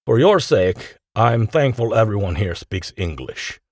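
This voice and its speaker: A polite American male scientist in an audiobook, voiced by a male narrator. He is modest and mild, soft-spoken with a slightly gravelly grain, recorded close and dry. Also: lowish voice, sing-song, measured pace, dry recording, heavily acted. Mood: modest, impressed.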